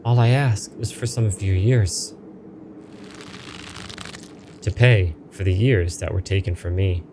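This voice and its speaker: A man's voice: low voice, steady tone, measured pace, background noise. A man voicing a mournful, vengeful spirit in a game, solemn and demanding. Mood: pleading. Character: mournful vengeful spirit, long trapped in the dark.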